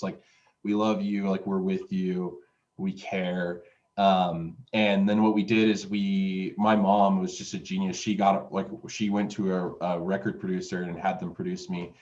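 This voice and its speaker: Low-voiced man with a dull, lo-fi sound. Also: a little mellow. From Utah, USA. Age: 20s. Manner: rambling, with many fillers and restarts. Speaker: music producer and interview guest. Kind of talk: casual interview storytelling.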